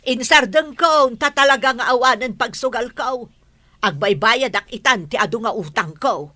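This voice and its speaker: A woman's voice: fairly high pitch, lively intonation, moderate pace, pushed and bright, no echo. An actress with an Ilocano accent playing a nagging, money-minded older mother in a radio drama, by turns pleading and scolding. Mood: exasperated.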